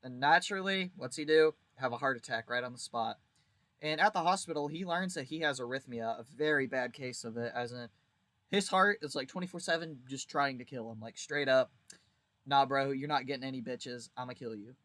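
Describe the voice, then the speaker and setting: A man's voice: medium pitch, fairly quick, fairly bright tone, no echo. A man giving casual video commentary in a wry, informal way, leaning on emphatic exaggeration. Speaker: video creator reviewing a visual novel.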